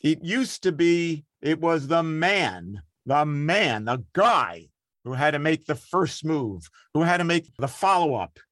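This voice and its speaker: A man's voice: on the high side, very animated, takes it slowly, a bit bright, no room sound. Enthusiastic male interview host, dry sound. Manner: friendly, enthusiastic and encouraging. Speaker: podcast host. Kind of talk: interview hosting.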